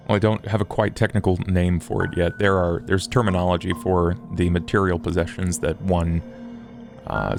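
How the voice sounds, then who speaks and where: A man playing a scholarly, formal vampire in a tabletop game, speaking in measured, wordy phrases over music. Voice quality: low, gravelly fry. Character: scholarly, formal, morose male vampire occult theorist. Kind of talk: in-character tabletop roleplay.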